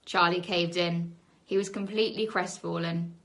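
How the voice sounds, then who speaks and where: A woman teacher reading a children's book aloud in an animated storybook style, leaning on key words with dramatic emphasis. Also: fairly low pitch, moderate pace.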